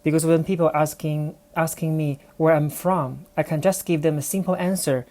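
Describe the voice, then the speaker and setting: A woman's voice: low voice, fairly even intonation, normal speed, dark tone. A woman from China with a Chinese accent, speaking English as she tells a personal story on her own podcast in a reflective, careful way. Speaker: podcast host.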